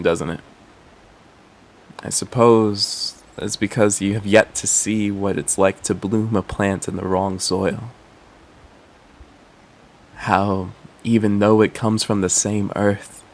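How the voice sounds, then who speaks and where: A man performing a poetic monologue as an earnest, troubled would-be father, speaking in a measured, reflective way. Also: on the low side, a little hiss or hum, rough recording. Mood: hesitant, subdued. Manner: measured, reflective, spoken-word delivery.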